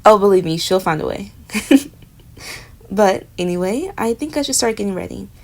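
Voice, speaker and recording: A young woman voicing a flustered, jealous roommate in a romantic audio roleplay, talking straight to the listener and trailing off into soft murmurs. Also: medium pitch, animated, normal speed. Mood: giddy.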